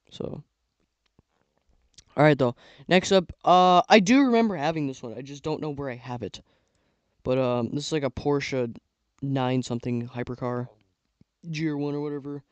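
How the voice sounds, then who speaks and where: A young man giving casual tier-list commentary on toy car sets, rambling with lots of fillers and a voice he says is going hoarse. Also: fairly high pitch, a little mellow, no echo. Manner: rambling, filler-heavy, trailing off. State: losing his voice. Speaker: YouTuber ranking toy sets.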